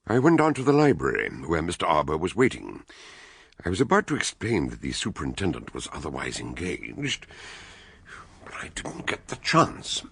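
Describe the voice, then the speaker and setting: An actor playing a ponderous, learned doctor sleuth who tells his case in a measured, theatrical, literary way in a radio drama. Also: rumbling low, wildly swooping pitch, gravelly, fairly bright tone, husky, no echo, heavily acted. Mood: measured narration. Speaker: detective and narrator. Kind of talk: radio drama narration and dialogue.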